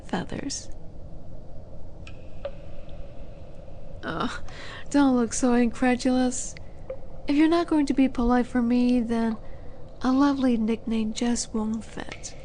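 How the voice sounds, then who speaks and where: A woman playing a flirty, condescending female demon in a roleplay audio drama, coaxing the listener in a purr that drops into breathy whispers. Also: a little high, dark tone, a little hiss or hum, lightly acted, low-quality recording. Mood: teasing, mocking. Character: flirty, teasing female demon buyer at a dark auction.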